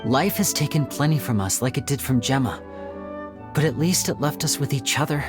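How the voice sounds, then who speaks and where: A man voicing a suave, wistful young male stage magician in a video game, by turns theatrical and playful or soft and reflective. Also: medium pitch, normal speed, natural voice. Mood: earnest. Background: game music.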